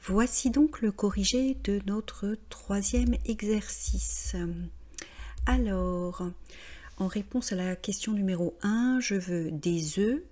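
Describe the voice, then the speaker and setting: A woman walks through grammar exercise answers as a French teacher. She speaks slowly and methodically, with many small hesitations. Her voice sits a little low. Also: darkish tone. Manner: patient, methodical, frequent hesitations.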